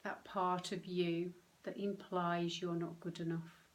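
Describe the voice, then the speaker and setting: A woman guides a meditation in a gentle, slightly low voice. Her intonation stays very level and her delivery is very slow, with short phrases set apart by long, restful pauses. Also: flat delivery, low-quality recording. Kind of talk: guided meditation, soothing instruction.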